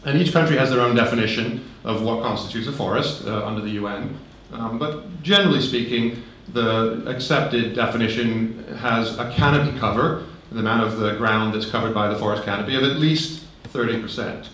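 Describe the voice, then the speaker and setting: A man giving a relaxed conference talk, conversational, with frequent ums and light humor, into a handheld microphone. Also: mid-range voice, fairly quick, hardly any pauses, a little hiss or hum. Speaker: conference speaker. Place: large conference hall. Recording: very echoey hall.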